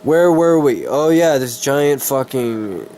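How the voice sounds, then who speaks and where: A man with a cold doing a dramatic story reading, halting and easily distracted, with casual swearing. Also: medium pitch, animated, mellow, slight background noise. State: sick with a cold.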